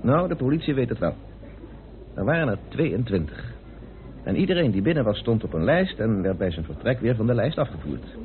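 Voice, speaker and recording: An actor playing a composed, well-informed British parliamentarian turned sleuth in a Dutch radio play, explaining things in a measured, deliberate way. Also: male voice, medium pitch, very animated, a little hiss or hum, close to an everyday voice. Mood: explaining, assured. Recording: narrow, phone-like old radio recording.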